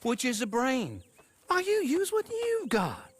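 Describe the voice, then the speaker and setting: An actor playing a lazy, wheedling older American cowboy in a video game cutscene, folksy, teasing and full of excuses. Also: male voice, very high-pitched, huge pitch swings, unhurried, slight background noise, full character voice. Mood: mock offended, smug.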